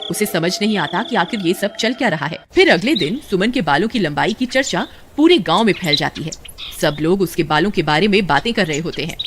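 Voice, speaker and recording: A man's voice: high-pitched, animated, fairly quick, background noise. A man narrating a scripted story as its storyteller. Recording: loud music under voice. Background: loud music.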